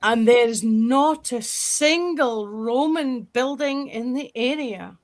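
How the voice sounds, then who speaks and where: A woman's voice: a little high, slow, noisy background. Scottish woman telling folklore. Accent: Scottish, northeast coast. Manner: storytelling, explains local dialect. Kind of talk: casual group chat.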